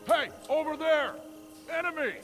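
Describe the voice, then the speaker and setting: Urgent high-pitched frontier gunman. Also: normal speed. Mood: alarmed, urgent. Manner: urgent, terse, calling out. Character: alarmed male frontier gunmen, enemies in a western game. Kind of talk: video game combat barks. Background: game music.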